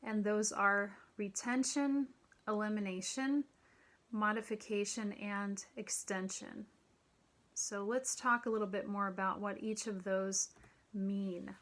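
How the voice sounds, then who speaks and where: A middle-aged woman giving a recorded lecture from a room at home, speaking deliberately with frequent ums and the occasional throat clearing. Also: medium pitch, fairly even intonation, a bit bright. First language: English. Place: home room with children's drawings on the wall.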